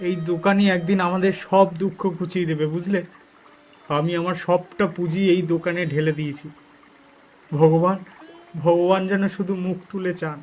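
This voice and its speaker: Man as earnest, high-voiced poor village father. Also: lightly acted. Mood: hopeful. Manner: sorrowful, earnest. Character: poor, humble, hopeful village father and shopkeeper. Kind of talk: cartoon voice acting.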